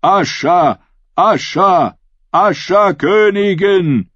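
A man voicing rough seafaring warriors in an audiobook, shouting acclaim in drawn-out rallying cries. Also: sits high, dry recording, dull audio, voice pushed up, full character voice. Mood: chanting. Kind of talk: dramatic audiobook character voice.

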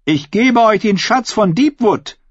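A man's voice: sits high, animated, unhurried, slightly rough, dry recording, dull audio, voice pushed up, heavily acted. A man voicing a bold, defiant young noblewoman in a dramatic audiobook, with a declamatory, challenging delivery. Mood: mocking, proud.

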